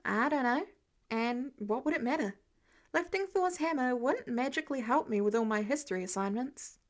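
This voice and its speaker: A woman's voice: sits high, animated, moderate pace. An easygoing, nerdy teenage boy in an audio story, voiced by a woman. He talks casually, with wry, self-deprecating humor and playful teasing.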